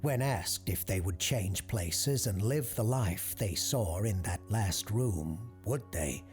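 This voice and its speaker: A man reading scripted, eerie documentary-style narration in a measured, deliberate way with dramatic pauses. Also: fairly low pitch, animated, darkish tone, faint music behind. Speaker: host and narrator.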